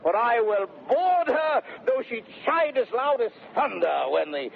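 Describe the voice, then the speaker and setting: An actor playing a blustering, boastful young gentleman adventurer, declaiming in a 1930s radio Shakespeare play on a very tinny, noisy recording. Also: extremely high pitch, very animated, measured pace, vocal fry, full character voice. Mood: boastful bravado. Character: swaggering, impudent young gentleman adventurer and fortune-seeker. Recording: very tinny vintage radio recording, faint noise.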